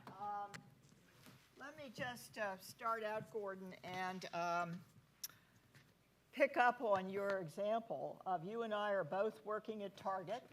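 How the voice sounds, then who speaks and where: A woman's voice: medium pitch, takes it slowly, slight background noise. A woman asking a panelist questions at a policy forum, conversational and inquisitive, with fillers. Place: conference hall.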